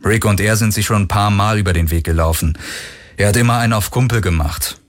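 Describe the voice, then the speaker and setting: A man's voice: on the low side, quick pace, close to an everyday voice. Man acting a young male crewman, wary, dry, sardonic, guarded, science fiction audiobook.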